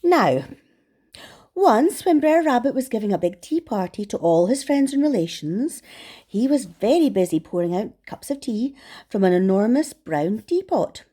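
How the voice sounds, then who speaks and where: A woman reading to children as a storyteller, expressive and affectionate in how she addresses young listeners. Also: a little high, moderate pace.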